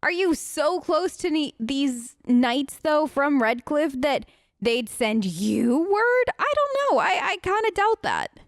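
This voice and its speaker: A woman's voice: high-pitched, big pitch swings, slow, dry recording, studio quality. A woman hosting a role-play video game let's play, chatty and skeptical, improvising casual replies in character. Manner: skeptical, chatty, playfully questioning. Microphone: close, dry microphone.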